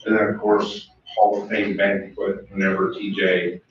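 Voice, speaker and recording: A man giving a casual, halting report on upcoming events at a school board meeting. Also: deep voice, level tone. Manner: casual, halting, lots of fillers. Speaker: booster club speaker. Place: large meeting room. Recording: echoey, dull, distant room recording.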